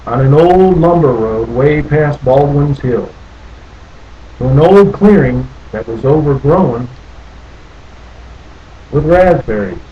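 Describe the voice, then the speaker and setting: Higher-voiced man reading a storybook, noisy recording. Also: animated, slow pace, long pauses, lo-fi sound. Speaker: storybook reader. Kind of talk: expressive children's story read-aloud. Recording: noticeable background noise.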